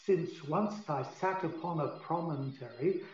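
A man's voice: fairly high pitch, measured pace, a little mellow, close to an everyday voice. A man playing a jealous, imperious, scheming fairy king in a Shakespearean radio play, with theatrical, commanding delivery. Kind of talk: Shakespearean radio drama verse. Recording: very echoey, distant, muffled, music behind. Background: music.

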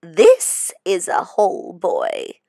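Woman as cackling, slow-talking monster schoolteacher. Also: mid-range voice, wildly swooping pitch, vocal fry, mellow, no room sound, studio quality, heavily acted. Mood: smug menace. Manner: cackling, drawn-out sinister delivery. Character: menacing monster teacher, slithering green female, gleefully cruel.

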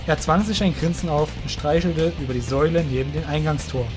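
Higher-pitched male storyteller over music. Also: fairly quick. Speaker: narrator. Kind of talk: scripted fantasy audio drama narration.

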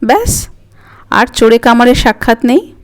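A woman's voice: on the high side, lively intonation, normal speed, natural voice. Wistful, bashful grandmother, acted by a woman. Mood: reminiscing. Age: older. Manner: coy, reminiscing, mildly snappish. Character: elderly Bengali grandmother, wistful, bashful, fondly scolding. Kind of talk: dramatic audiobook character reading. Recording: music under the voice.

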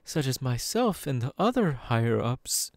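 A smug genteel demon, slow, lilting, falsely sympathetic, theatrical, ASMR roleplay, close dry sound. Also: male voice, mid-range voice, sing-song, darkish tone, dry recording. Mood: smooth, curious. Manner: slow, theatrical, drawn-out pauses.

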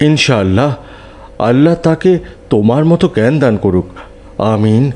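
A man's voice: mid-range voice, animated, moderate pace, darkish tone, close to an everyday voice. Kindly pious cleric, played by a man. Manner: pious, approving, addresses children kindly. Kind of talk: Islamic cartoon dub acting.

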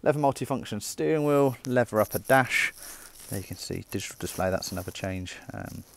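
Low-pitched British car salesman. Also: expressive, a little fast, vocal fry. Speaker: car dealer presenter. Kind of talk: walkaround sales presentation, semi-casual commentary. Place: indoor car showroom.

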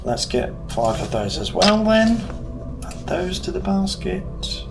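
British man, 30s, relaxed home-vlog talker, slow pace, webcam at home, music bed behind. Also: high voice. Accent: British English. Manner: relaxed, thinks aloud while shopping, frequent ums. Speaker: hobbyist YouTuber. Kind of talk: casual build vlog walkthrough. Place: living room at home. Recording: clear background music under voice. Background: background music.

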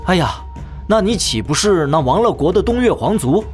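Cocky young nobleman, highish voice, lively intonation, glib, mocking, theatrical audio drama. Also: normal speed, faint music behind. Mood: taunting.

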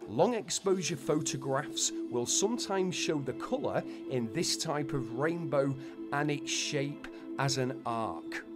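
A man's voice: a little high, animated, slow pace. A man reading a scripted explainer narration, with folksy, wonder-filled asides to listeners. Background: background music.

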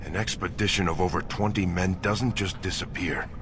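A man playing an earnest, grave dwarven nobleman in a fantasy game, speaking in a solemn, formal, measured way. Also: low-pitched, fairly even intonation, noisy background, close to an everyday voice. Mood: earnest, determined. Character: earnest, grave dwarven nobleman seeking his lost brother. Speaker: video game character narrating and in dialogue. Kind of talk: dramatic scripted game dialogue and narration. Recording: game audio under loud music.

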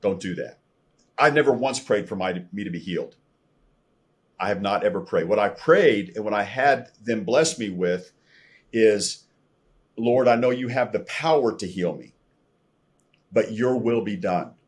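Deep, husky older American man. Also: lively intonation, a little slow, pauses often. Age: older, around 60. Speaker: podcast guest. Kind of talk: personal testimony storytelling. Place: room at home, indoors. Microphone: video call, computer microphone at desk distance.

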